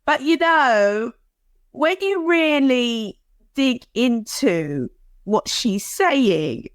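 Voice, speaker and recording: A woman co-hosting a podcast in casual chat, animated and quick to laugh, with dramatic asides. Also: fairly high pitch, no room sound, clean studio sound. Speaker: podcast co-host.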